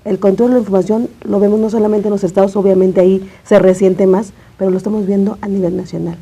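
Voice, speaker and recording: A Mexican woman speaks as a journalist guest in a studio. Her voice is very dark and soft-edged, with a husky grain and a middling pitch. She comments in a conversational way. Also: flat delivery, fast talker.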